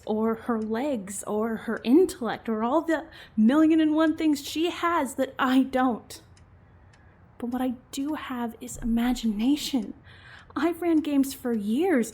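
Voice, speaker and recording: A woman acting an earnest, wounded female game master in an audio drama, speaking with deliberate, emotive delivery. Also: high-pitched, a little mellow. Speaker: voice actor in an amateur audio drama.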